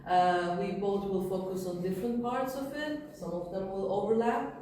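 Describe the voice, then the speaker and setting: A woman whose first language is Persian, giving an informal workshop talk with relaxed fillers. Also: medium pitch, normal speed, slight background noise. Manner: relaxed, explains with many fillers. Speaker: workshop speaker. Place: workshop room. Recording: echoey room from a distance.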